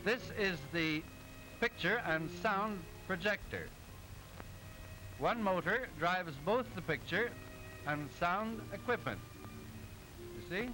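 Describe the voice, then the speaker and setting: A man's voice: sits high, animated, rough recording. A man playing a genial professor who narrates an educational 1920s cartoon in a didactic, precise way. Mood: explaining. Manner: didactic, precise, measured explaining. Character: genial male professor explaining machines in a cartoon. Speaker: narrator, lecturer. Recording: old 1920s film soundtrack, music behind.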